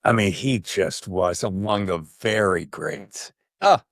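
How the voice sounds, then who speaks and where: A middle-aged man hosting a podcast in casual interview chat, telling anecdotes in an animated way and acting out dialogue. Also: lowish voice, slow, dry recording, clean studio sound. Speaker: podcast host.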